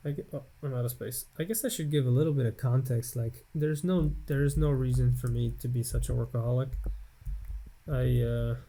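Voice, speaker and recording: A man's voice: medium pitch, moderate pace, vocal fry, pauses often, warm tone, poor audio. Rambling American man thinking aloud. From United States. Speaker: Let's Play gamer. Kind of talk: casual gameplay commentary.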